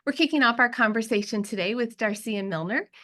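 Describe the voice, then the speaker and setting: A woman hosting a webinar, giving a smooth prepared introduction of the panel. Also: a little high, a little fast, sharp tone. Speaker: webinar host.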